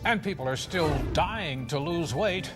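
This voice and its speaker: Lively male American news anchor. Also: on the high side, measured pace, over music. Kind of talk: scripted TV news reading.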